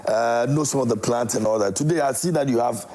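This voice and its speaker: A man's voice: mid-range voice, fast talker, dark tone. A Ghanaian man hosting a morning TV talk show in a chatty manner, with many fillers, often echoing his guest's words. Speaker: TV show host.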